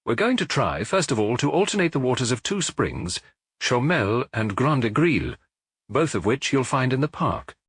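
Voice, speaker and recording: A man voicing a calm, courteous male spa doctor in a storybook reading, speaking in a measured, polite and reassuring way. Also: medium pitch, breathy edge, dry recording, clean studio sound, pitched above the performer's own voice.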